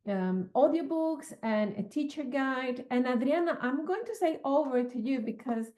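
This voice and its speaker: A woman from Venezuela with a Venezuelan accent, presenting as an author in a measured, conversational way. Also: high-pitched, lively intonation, darkish tone.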